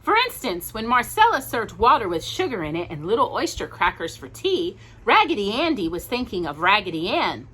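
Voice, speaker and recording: A woman's voice: medium pitch, very animated, moderate pace, few pauses, pushed and bright, breathy edge. A woman hosting a storytime, reading a children's story aloud expressively with cooing asides to young listeners. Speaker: storytime host.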